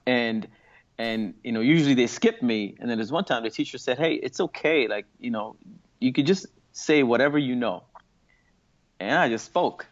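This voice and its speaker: A man's voice: mid-range voice, expressive. Guy from singapore reflecting on his past, lots of restarts, kinda muffled lo-fi sound. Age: late 30s or 40s. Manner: thoughtful, halting with restarts and fillers. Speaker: guest. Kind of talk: reflective interview talk. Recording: muffled lo-fi audio.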